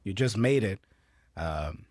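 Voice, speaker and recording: A man's voice: lowish voice, expressive, takes it slowly, a little mellow, dry recording, clean studio sound. Brazilian man explaining English phrases. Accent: Brazilian Portuguese. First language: Portuguese. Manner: patient, explanatory, checks in with "right?". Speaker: English teacher. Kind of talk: explaining a clip for learners.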